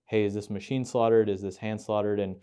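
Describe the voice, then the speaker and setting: A man's voice: lowish voice, fairly even intonation, fairly quick, dark tone, clean tone. A man hosting a podcast, asking thoughtful, winding questions and correcting himself as he goes. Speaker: podcast host. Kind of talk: casual conversational interview.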